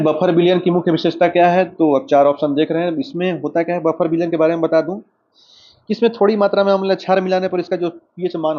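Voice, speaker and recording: A man with an Indian accent teaching an exam lesson, explaining questions and answers directly to students. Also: fairly high pitch. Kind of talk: lesson, working through quiz questions and explanations. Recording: dull lo-fi microphone sound.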